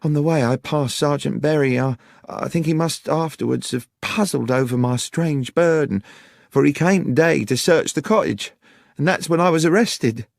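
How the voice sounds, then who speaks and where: A man voicing an anxious, deferential young country woman with rustic, halting speech, in an audiobook. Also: fairly high pitch, gravelly, no room sound, clean studio sound, pitched above the performer's own voice. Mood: anxious, earnest. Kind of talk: audiobook character voice.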